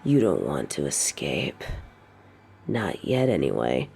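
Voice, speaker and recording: A woman's voice: extremely low pitch, big pitch swings, slow pace, vocal fry, a little mellow, a little hiss or hum, full character voice. A woman playing an evil, possessive dictator queen, cold, cruel and seductive, taunting in formal, imperious words in an audio roleplay. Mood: menacing, seductive. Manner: taunting, imperious, formal wording, lingering delivery. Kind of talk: scripted audio roleplay monologue.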